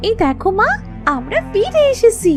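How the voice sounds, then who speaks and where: A woman's voice: very high-pitched, big pitch swings, normal speed, over music. A woman voicing a sweet, eager little girl, affectionate and pleading, in a children's cartoon. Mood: pleading, cheerful.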